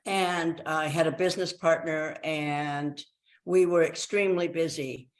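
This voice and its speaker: A woman's voice: sits low, fairly even intonation, unhurried. A woman in her eighties speaking as an interview guest, telling her own story in a reflective, conversational way. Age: 80s.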